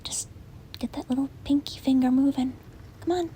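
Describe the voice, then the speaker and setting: A woman playing a reluctant, apologetic sleep paralysis demon in a soft, hesitant, breathy ASMR whisper. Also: high-pitched, fairly even intonation, moderate pace, close to an everyday voice. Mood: encouraging. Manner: hesitant, rambling, soft-spoken. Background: rain and wind.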